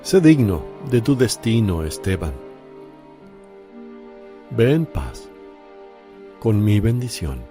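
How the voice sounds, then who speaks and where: A man playing a slow, solemn Jesus. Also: fairly low pitch, sing-song, mellow, faint music behind. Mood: solemn blessing. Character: Jesus, calm gentle male teacher and preacher. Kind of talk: radio drama acting.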